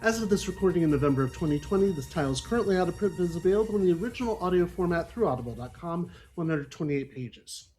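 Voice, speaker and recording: A man with a fairly high voice presents as a podcast host. He moves through scripted introductory material and drops in dry, wry remarks as he goes. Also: expressive, quick pace, few pauses, a little mellow, a little hiss or hum, low-quality recording. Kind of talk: semi-scripted book discussion introduction with ad-libbed asides. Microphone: online group call.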